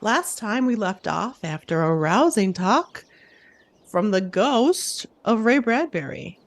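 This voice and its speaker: A woman's voice: mid-range voice, animated, slow. A woman playing a friendly, reassuring summer camp director who encourages her campers in an audio drama. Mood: upbeat, encouraging. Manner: encouraging, addresses listeners as campers.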